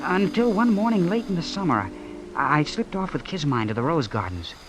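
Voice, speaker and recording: A man's voice: fairly high pitch, big pitch swings, fairly quick, over music. An actor in an old-time radio drama playing an earnest, lovestruck young man, nervous and indignant, with a hesitant, stammering delivery. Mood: wistful, narrating. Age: young adult. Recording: noisy vintage radio broadcast recording.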